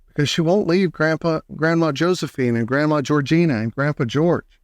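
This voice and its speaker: A man's voice: on the high side, normal speed, dry recording, clean studio sound, natural voice. A man voicing a sweet, wide-eyed little boy in a storybook, earnest and exclamatory. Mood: worried, earnest.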